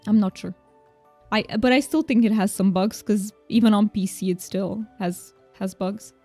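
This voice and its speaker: A woman's voice: medium pitch, moderate pace, mellow, soft background music. Chatty Romanian-native woman streamer. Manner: chatty, reassuring, laughs often, many restarts. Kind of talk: casual game stream chat.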